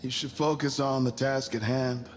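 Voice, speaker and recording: An actor playing a cool, condescending leader of a space mission in a cinematic video game, speaking in a measured, superior manner. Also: male voice, medium pitch, steady tone, soft background music, natural voice. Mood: cold, threatening.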